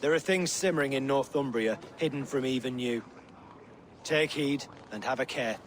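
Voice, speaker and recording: A man playing a sly, silver-tongued Norse schemer, measured, poetic and ominous, in a video game drama. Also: mid-range voice, a little hiss or hum, lightly acted. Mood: cryptic warning.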